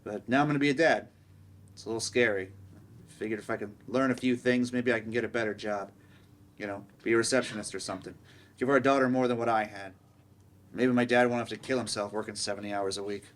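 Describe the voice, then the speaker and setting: A man's voice: on the low side, steady tone, fast talker, lightly acted. Earnest young working man played by an actor. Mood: hopeful, nervous. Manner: plain, unassuming. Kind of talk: staged play reading, monologue.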